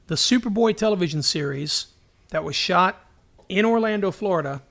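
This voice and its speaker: High-pitched, emphatic man co-hosting a podcast. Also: lively intonation, moderate pace, vocal fry, fairly bright tone. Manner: emphatic, opinionated, anecdotal. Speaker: podcast co-host. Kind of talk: casual enthusiast discussion about TV shows.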